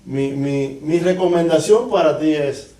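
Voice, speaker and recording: A man's voice: on the high side, unhurried, mellow, roomy sound, far from the mic. A male pastor giving an earnest testimony and prayer as a guest on a TV show, repeating words as he goes. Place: TV studio.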